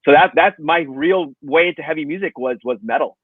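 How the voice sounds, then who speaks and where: A man talks in a relaxed, chatty way over a video call. His voice sits fairly high and comes through dry and tinny, like a phone line. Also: fairly quick, husky, dry recording. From Hong Kong. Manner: relaxed, chatty, laughs easily. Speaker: guest. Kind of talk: casual video call chat.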